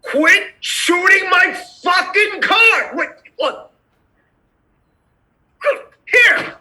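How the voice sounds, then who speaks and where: A woman's voice: very high-pitched, lively intonation, very slow, sharp tone, exaggerated voice. A woman playing a bitter, foul-mouthed male tax auditor in a screenplay table read, irritable and sarcastic. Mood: furious.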